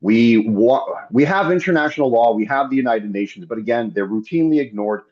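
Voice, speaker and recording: Husky guy on a webinar panel, earnest and emphatic, repeats himself, muffled lo-fi audio. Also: medium pitch, animated, brisk, a little mellow. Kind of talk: informal political remarks. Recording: dull lo-fi online call audio.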